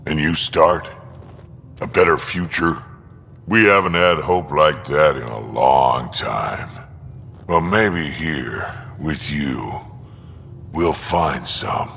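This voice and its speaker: An actor playing a battle-worn old alien warrior in a video game, gruff and weighty as he speaks. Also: extremely low pitch, pushed and bright, heavily acted. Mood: wary hope. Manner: slow, weighty storytelling. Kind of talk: video game character dialogue. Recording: long hall reverb, background noise.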